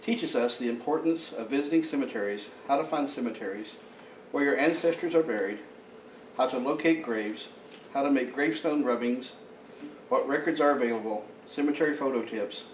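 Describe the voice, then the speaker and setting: Halting man announcing in an echoey room. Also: medium pitch, slight background noise. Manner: halting, frequent uh fillers and restarts. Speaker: society president introducing the speaker. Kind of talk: announcements and speaker introduction at a meeting. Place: meeting room. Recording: echoey room, mic from far off.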